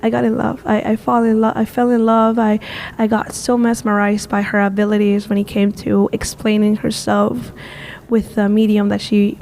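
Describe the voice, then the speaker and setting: A woman's voice: mid-range voice, level tone, normal speed, darkish tone, a little hiss or hum. A woman in her twenties from Peru, speaking English as a second language, answering interview questions eagerly with many fillers and restarts. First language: Spanish. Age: 20s. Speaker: guest, street art tour guide.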